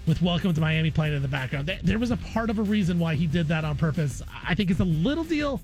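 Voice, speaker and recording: High-voiced American man talking sports. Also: animated, fast talker, darkish tone, faint music behind. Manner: conversational, repeats phrases for emphasis. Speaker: guest co-host of a sports talk show. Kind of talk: casual, opinionated basketball discussion.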